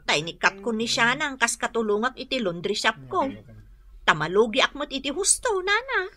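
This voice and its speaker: A woman's voice: on the high side, very animated, normal speed, pushed and bright. A woman playing a strict, fed-up laundry shop boss in a radio drama, with an Ilocano accent and an emphatic manner. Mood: apologetic explaining. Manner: nagging, emphatic.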